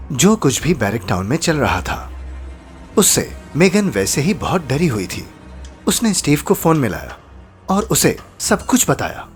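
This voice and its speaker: A man narrating a scripted horror story in a suspenseful storytelling style. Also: fairly high pitch. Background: background music.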